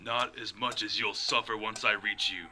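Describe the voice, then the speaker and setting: Guy playing a mysterious taunting avenger in a radio play, really sharp forceful voice, dramatic, noisy background. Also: lowish voice, fairly even intonation, normal speed.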